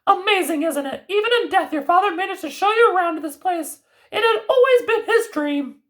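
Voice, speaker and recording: A woman voicing a kindly grandmother who is a fairy godmother, speaking in a very high, sing-song voice. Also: animated, brisk. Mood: fond.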